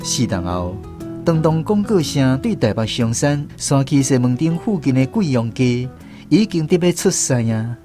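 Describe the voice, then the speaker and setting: A man's voice: medium pitch, sing-song, normal speed, natural voice. A man with a Taiwanese accent playing a reflective storyteller who narrates a radio drama. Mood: reflective narration. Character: reflective male storyteller recalling apprentice days. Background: guitar music.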